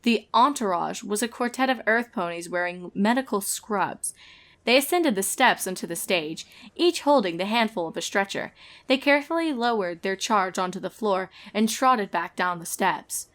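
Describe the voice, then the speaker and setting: A woman's voice: medium pitch, lively intonation, normal speed, a bit bright. A woman reading a fan fiction story aloud as narrator, in a scripted storytelling style.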